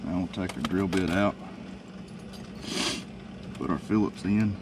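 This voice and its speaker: A man, casually explaining a how-to job in a driveway with a relaxed, folksy manner and many fillers. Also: low-pitched, unhurried. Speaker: DIY video host. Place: outdoors in a driveway. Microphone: camera mic. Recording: distant outdoor camera mic with noise.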